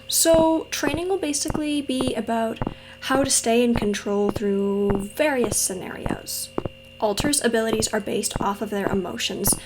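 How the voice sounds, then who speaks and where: A woman playing a friendly, patient mentor in a roleplay audio drama, speaking to the listener in a gentle, reassuring, casually instructive way. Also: on the high side, noisy background, lightly acted. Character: friendly female mentor with powers, patient and encouraging trainer.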